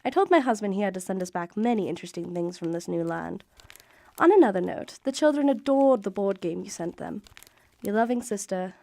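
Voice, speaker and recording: An actress playing an affectionate young married Victorian mother reading her letters in a radio drama, with genteel, expressive period diction. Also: medium pitch, a little fast, creaky, no room sound. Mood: hopeful.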